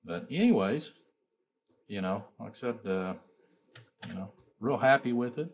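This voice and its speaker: A man's voice: lowish voice, animated, a little slow, slight background noise. A man informally presenting a demonstration as a builder and seller, rambling along with frequent repeated filler phrases. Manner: rambling, repeats "you know" and "like I said". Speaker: amplifier builder and seller presenting his work.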